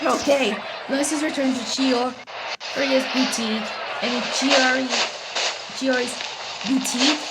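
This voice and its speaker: A woman's voice: sits high, steady tone, takes it slowly, over loud music, over a noisy crowd. A woman giving casual commentary as she streams a game playthrough. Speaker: gameplay streamer. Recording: very noisy home game recording.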